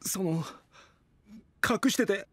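A man's voice: sits high, takes it slowly, no echo, soft background music. A man acting an earnest, weary young idol in an anime-style audio drama, speaking casually and frankly. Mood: hesitant, confessing. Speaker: voice actor.

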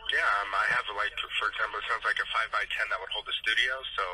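Guy doing phone customer service, lowish flat voice, polite but kinda halting, noisy line. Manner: polite, hesitant, halting delivery. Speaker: storage company call-center agent. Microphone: telephone. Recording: noisy telephone line recording.

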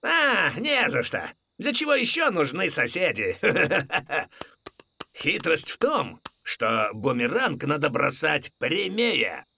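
A man voicing an excitable, easygoing middle-aged wallaby neighbour with a gravelly voice in a preschool cartoon dub. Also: on the high side, takes it slowly, dry recording, exaggerated voice. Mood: confident.

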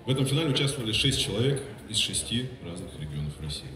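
A man's voice: fairly low pitch, big pitch swings, fast, a crowd in the background. A man presenting an award, addressing the audience from the stage. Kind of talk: speech on stage. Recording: roomy hall from far off.

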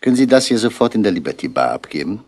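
An actor playing a middle-aged, dry-witted police commissioner in a radio crime drama, asking terse, probing questions in a husky voice. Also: male voice, lowish voice, big pitch swings, quick pace, a little mellow, a little hiss or hum. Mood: brisk, dry. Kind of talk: 1960s German radio crime drama acting.

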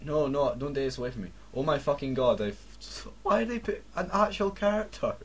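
A man's voice: fairly high pitch, expressive, fairly quick, slight background noise. A Scottish man reading fan fiction aloud mockingly, breaking off to swear and exclaim in casual banter. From Scotland. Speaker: reader and commentator.

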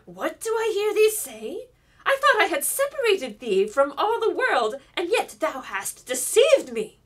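A woman voicing a wicked old enchantress, loud, mocking and venomous. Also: very high voice, big pitch swings, unhurried, pitched above the performer's own voice, full character voice. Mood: outraged.

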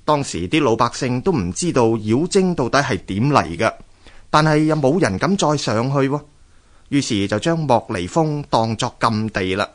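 A man with a Hong Kong Cantonese accent narrates scripted legends and history as a radio storyteller, in an expressive, measured way. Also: mid-range voice.

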